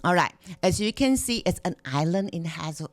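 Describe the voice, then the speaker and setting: A woman's voice: fairly low pitch, expressive, normal speed, no echo. A woman giving a conference presentation as a marketing director, chatty with many fillers and restarts. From Taiwan. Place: conference room.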